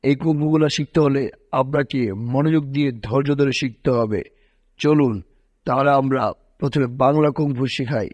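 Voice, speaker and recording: A man's voice: medium pitch, normal speed, a little mellow, dry recording, muffled recording, close to an everyday voice. A man playing a confident kung fu teacher, explaining formally to his viewers. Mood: instructive. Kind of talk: amateur cartoon dub in Bengali.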